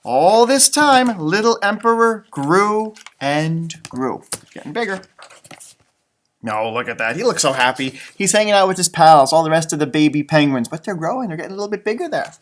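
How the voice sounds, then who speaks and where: A man reads a picture book to children with a highly animated, swooping delivery. He stretches out the story words expressively, then drops into casual, chatty asides as he explains things like a teacher. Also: moderate pace. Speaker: storybook reader, elementary school teacher.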